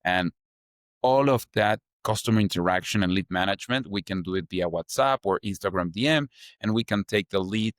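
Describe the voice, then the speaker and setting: A young Chilean man with a fairly low voice talks through his story in accented English, loose and chatty, leaning on fillers. The sound is close and dry, with no room around it. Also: fairly quick, dry recording. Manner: loose, chatty, strings ideas with "like" and "kind of". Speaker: startup founder guest. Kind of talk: casual interview answers.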